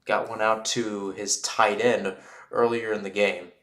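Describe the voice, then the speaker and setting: A man's voice: on the low side, measured pace, reverberant. A man doing live football play-by-play in a radio style, explaining at length between plays. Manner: rambling and explanatory between plays, excited on big plays. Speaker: play-by-play commentator.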